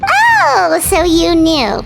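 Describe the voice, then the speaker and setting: A woman voicing a tiny, excitable and blunt fairy companion in a video game, with a squeaky, childlike voice. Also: drawn-out words, gravelly, loud music behind the voice, full character voice. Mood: sheepish. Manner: excitable, blurts reactions.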